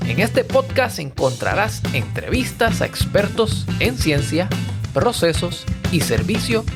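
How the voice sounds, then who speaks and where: A man's voice: sits high, very animated, a little slow, creaky, over loud music. A man from Rico, hosting a podcast and introducing an interview. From Puerto Rico. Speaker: podcast host.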